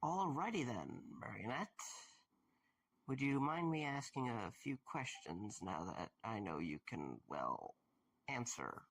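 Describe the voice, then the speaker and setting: A man playing a tired, wry, kindly investigator in an audio drama, with a gravelly voice and a casual, dry manner. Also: medium pitch, big pitch swings, unhurried, fairly bright tone, heavily acted. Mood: casual, tentative.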